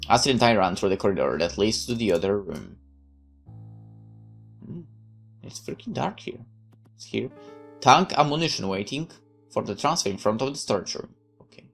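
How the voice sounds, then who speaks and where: Very deep-voiced woman reading a game. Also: moderate pace, gravelly, long pauses, faint music behind. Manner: expressive, hesitant reading. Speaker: gameplay narrator. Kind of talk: reading a visual novel aloud.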